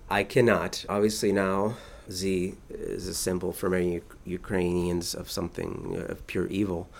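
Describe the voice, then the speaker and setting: Deep gravelly-voiced man answering questions. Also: flat delivery, normal speed. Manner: thoughtful, with frequent restarts and fillers. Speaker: translator. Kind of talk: interview answers.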